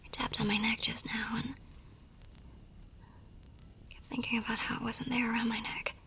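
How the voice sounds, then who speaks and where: A woman playing a tender, wistful young army nurse in an ASMR romance roleplay, with a soft, breathy voice that often drops toward a whisper and an emotive delivery. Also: fairly high pitch, flat delivery, moderate pace, a little hiss or hum. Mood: cautious, questioning. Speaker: voice actor in a romance audio roleplay. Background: music.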